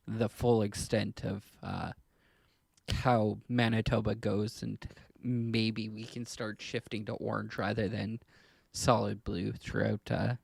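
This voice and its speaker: Male co-host, gravelly mid-pitched voice, close dry sound, informal and slightly hesitant in political conversation. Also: flat delivery, takes it slowly, darkish tone, no echo. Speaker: podcast co-host. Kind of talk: casual political chat.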